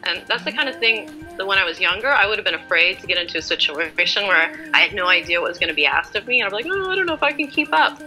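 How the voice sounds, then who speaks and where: A woman's voice: medium pitch, very animated, quick pace, few pauses, pushed and bright, soft background music, poor audio. A woman in her forties answering as an interview guest in a casual, chatty, informal and self-deprecating way. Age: 40s.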